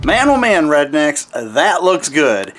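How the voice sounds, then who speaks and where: Guy doing a casual truck diy video, kinda high voice, really up and down, folksy slang. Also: very animated, slow pace, over loud music. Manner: informal, folksy, mild slang. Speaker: host of a DIY truck build channel. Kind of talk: casual hands-on demonstration to camera.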